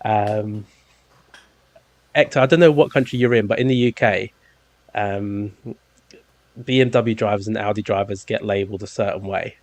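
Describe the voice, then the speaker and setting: A man's voice: lowish voice, fairly even intonation, normal speed, pauses often, no room sound. A man with a London accent in his thirties, hosting a podcast in a rambling, jokey way with lots of ums. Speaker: podcast host. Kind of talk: casual chat.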